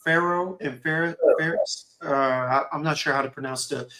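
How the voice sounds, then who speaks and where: Animated, rambling male host. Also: a little high, normal speed. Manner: animated, rambling, lots of "whatnot" and "you know". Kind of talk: casual conversation.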